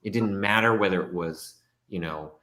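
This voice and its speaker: Man, low voice, animated storyteller, echoey room. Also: normal speed. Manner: animated storytelling, reenacts his own thoughts. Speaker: guest, actor. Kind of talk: casual conversation.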